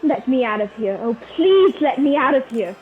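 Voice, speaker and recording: A frightened woman spy, acted by a woman in a radio play, pleading and urgent in a highish voice. She sounds secretive and hunted, over a thin phone-like connection with faint noise behind her. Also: sing-song, normal speed. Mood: pleading, panicked. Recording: tinny phone-like video call, faint noise.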